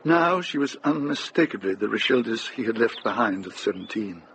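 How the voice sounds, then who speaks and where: An actor narrating as a grave, measured storyteller in a scripted radio drama. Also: male voice, fairly low pitch, expressive, fairly bright tone, a little hiss or hum, poor audio. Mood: tender, wistful.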